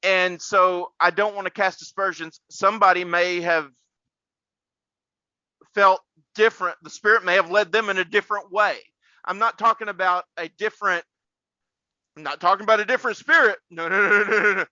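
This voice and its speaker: A man's voice: high voice, steady tone, moderate pace, sharp tone, dry recording, highs cut off. A man hosting a casual faith podcast like a preacher, rambling and repeating words for emphasis.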